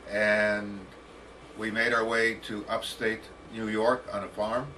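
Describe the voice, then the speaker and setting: An American man of about sixty telling his life story as a professor, with deliberate, halting, formal phrasing. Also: on the low side, little pitch movement, sharp tone. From United States, Detroit. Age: around 60. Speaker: professor, interview guest. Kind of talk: autobiographical storytelling. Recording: distant mic with faint background noise.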